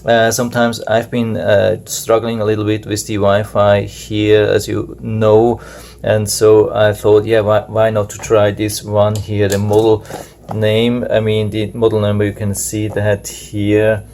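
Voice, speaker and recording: A man's voice: fairly low pitch, monotone, measured pace, vocal fry, a little mellow, slight background noise. A man whose first language is German, casually reviewing a gadget he unboxes at a table, hesitant, with many filler sounds. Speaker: product reviewer. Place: indoors at a table.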